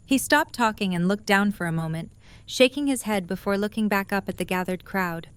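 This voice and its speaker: A woman's voice: medium pitch, brisk, clear, resonant tone. A woman reading scripted story narration as the narrator of an audio drama.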